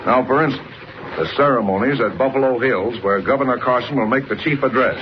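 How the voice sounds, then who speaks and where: A muffled, crackling vintage broadcast features a man acting a city editor of middling pitch. He is brisk, authoritative and gruffly friendly, with emphatic 1940s radio delivery. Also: lightly acted. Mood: confiding. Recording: noisy, dull vintage radio recording.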